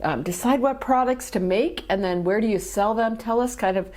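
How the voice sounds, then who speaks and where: A woman's voice: medium pitch, moderate pace, a little mellow. A woman hosting an interview, asking questions in an enthusiastic, encouraging way. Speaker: host, interviewer. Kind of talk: friendly interview.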